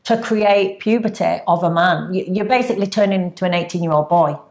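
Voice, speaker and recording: A woman's voice: lowish voice, fairly quick, darkish tone. A woman co-hosting a casual podcast, talking earnestly and giving advice. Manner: earnest, advising. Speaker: co-host.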